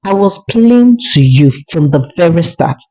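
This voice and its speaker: A woman's voice: fairly low pitch, animated, a little slow, mellow. A woman with a Nigerian accent voicing a proud, aggrieved young husband in a folktale, reproachful and insistent. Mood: hurt, accusing. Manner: reproachful, insistent, repeating questions. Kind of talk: dramatic folktale storytelling. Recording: dull, lo-fi voice recording.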